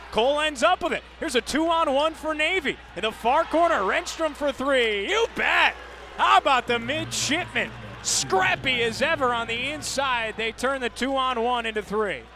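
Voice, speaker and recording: A male play-by-play commentator working a live radio game. His voice is pitched very high and carries a gravelly creak. He describes action in short, vivid bursts that climb into excited calls, with clear game noise behind him. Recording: loud arena and ballpark noise behind.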